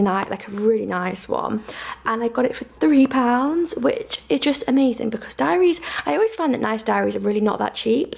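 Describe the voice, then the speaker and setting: A woman's voice: fairly high pitch, gravelly, a little mellow. A woman, chatting casually and informally to camera as a vlogger, with gushing enthusiasm. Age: 20s. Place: small flat, bedroom and kitchen, indoors.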